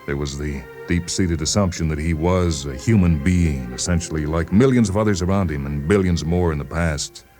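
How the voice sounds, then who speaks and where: Very deep-voiced man reading a dramatic story. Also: a little mellow. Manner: deliberate, brooding delivery with dramatic pauses. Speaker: narrator reading a short story. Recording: music behind the voice. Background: music.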